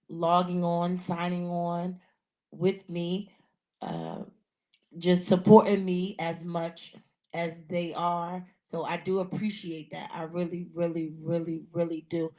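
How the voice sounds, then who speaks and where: A woman with a raspy voice hosting a casual live podcast, talking earnestly and repeating herself often. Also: on the low side, little pitch movement, slow pace, a little mellow. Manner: repetitive, earnest, many restarts. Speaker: podcast host. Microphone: handheld mic.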